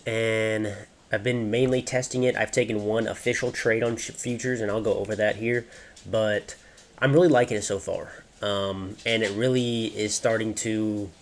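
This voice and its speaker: A young man with a congested, nasal voice from allergies, casually talking through his trading with lots of ums. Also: lowish voice. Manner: relaxed, many ums and you-knows. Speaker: trading vlogger. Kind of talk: casual screen-share walkthrough.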